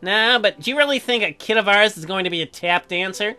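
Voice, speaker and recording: A woman's voice: mid-range voice, a little fast, pushed and bright, soft background music. A woman hosting a let's play, casually reading game dialogue aloud and commenting in a joking, slangy way.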